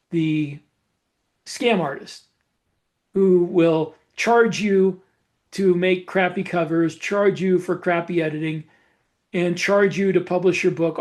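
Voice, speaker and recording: Casting: male podcast co-host with a high-set voice, informal explainer delivery, plain-spoken and opinionated. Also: measured pace. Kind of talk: casual explanatory podcast talk.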